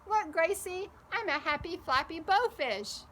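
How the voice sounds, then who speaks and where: A woman voicing an eager, playful little boy in a children's storybook, excitable and fond of play-acting. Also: very high-pitched, a little slow, slight background noise, pitched above the performer's own voice, full character voice. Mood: gleeful. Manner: excitable, imitating animals and birds.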